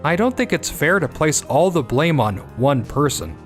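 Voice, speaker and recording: A man playing a fair-minded, encouraging office team leader in a manga dub, speaking in a measured, reassuring way. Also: fairly high pitch, very animated. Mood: calm, reassuring. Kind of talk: anime-style manga dub dialogue. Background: background music.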